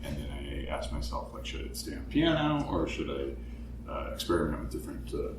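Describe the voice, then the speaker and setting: Deep-voiced man, hesitant and thoughtful. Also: sing-song, moderate pace. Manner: thoughtful, hesitant, many fillers. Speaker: composer for the show. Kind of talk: casual chat. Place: apartment room. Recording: roomy, distant, noisy.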